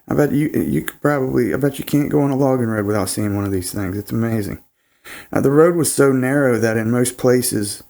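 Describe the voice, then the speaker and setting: A man's voice: mid-range voice, darkish tone. A man, hosting and casually narrating an encounter story, his voice nasal and congested from a cold, laid-back with rambling asides. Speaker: host.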